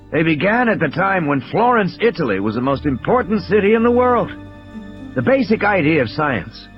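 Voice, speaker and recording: A man voicing an intense, ailing male scientist who lectures emphatically and grimly in an audio play. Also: on the high side. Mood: fervent lecturing. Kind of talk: audiobook character dialogue. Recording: dull lo-fi audio, music behind.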